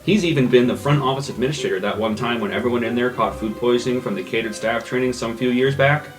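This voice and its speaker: A male tabletop player reads out a prepared character description. His voice is of average pitch, with guitar music clearly audible behind it. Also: fast talker. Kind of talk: reading a written character blurb. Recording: background guitar music under the voice.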